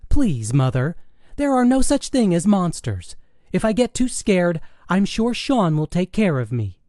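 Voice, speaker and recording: A man's voice: sits high, big pitch swings, a little slow, a little mellow, no room sound, clean studio sound, pitched above the performer's own voice. A man voicing a sarcastic, dramatic teenage girl in an audiobook, with an exasperated, mocking manner. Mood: teasing. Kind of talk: audiobook character dialogue.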